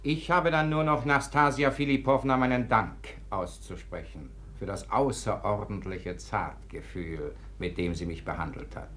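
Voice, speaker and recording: An actor in a 1950s German radio play, playing a stiff, bitterly polite suitor with a formal, strained manner. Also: male voice, on the low side, normal speed, hardly any pauses, a bit bright, natural voice.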